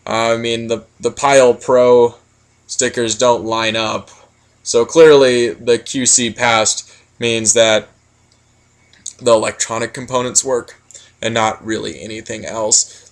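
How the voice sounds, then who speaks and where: A man's voice: medium pitch, a bit bright, clear, resonant tone. A man giving a casual product critique, explaining things in a rambling, matter-of-fact way. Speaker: product reviewer.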